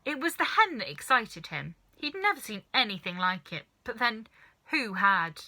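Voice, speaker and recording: A woman reading a children's story aloud as an expressive narrator. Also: on the high side, normal speed. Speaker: storybook reader.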